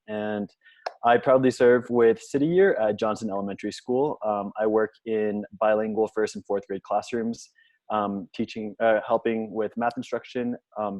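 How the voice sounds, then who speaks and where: A young man from the D.C. area introducing himself on a panel in a relaxed, chatty way. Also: lowish voice, no room sound. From Washington, D.C. area, USA. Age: young adult. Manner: relaxed, chatty, self-deprecating jokes. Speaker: panelist, service member. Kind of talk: casual introduction on a video call. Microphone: video call. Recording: dull, dry video call audio.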